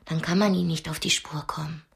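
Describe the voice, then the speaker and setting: Young captive woman, husky breathy voice, intimate diary tone, anguished, defiant, dry close radio drama sound. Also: deep voice, fairly even intonation, fast, dry recording, heavily acted. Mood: insistent. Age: young adult. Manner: intimate, often dropping into breathy whispers.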